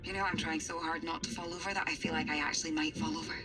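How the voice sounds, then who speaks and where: Woman acting as a teasing female astronaut in a sci-fi game, dry and chatty, music really loud behind her. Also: low voice, steady tone, rapid-fire, natural voice. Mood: wry.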